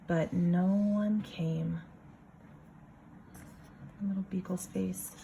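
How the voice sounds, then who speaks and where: A woman reading a picture book aloud like a kindergarten teacher, expressive, pausing for casual asides to the children. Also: mid-range voice, creaky, a little hiss or hum.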